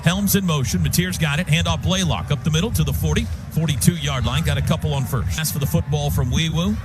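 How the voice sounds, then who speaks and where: A man calling live football on the radio as play-by-play announcer, in clipped short phrases with excitable shouts on big plays. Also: fairly high pitch, fast. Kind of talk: live sports play-by-play commentary. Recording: loud music bed under radio broadcast.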